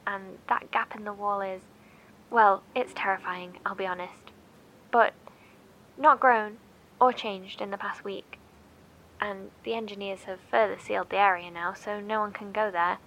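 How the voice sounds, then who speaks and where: An anxious woman broadcasts from a survivors' bunker in an audio drama. She speaks slowly and haltingly, sounding reluctant, over a faint rumbling noise. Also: fairly bright tone, rough recording. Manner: hesitant, halting, sighing.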